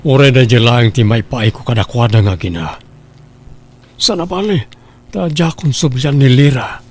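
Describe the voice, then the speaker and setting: A man acting in a Filipino radio drama as a remorseful father, husky and halting, pleading and apologetic. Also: medium pitch, lightly acted. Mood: contrite.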